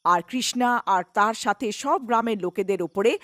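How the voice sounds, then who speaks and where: A woman narrating a scripted animated story as its storyteller. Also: a little high, a little fast, no echo, clean studio sound.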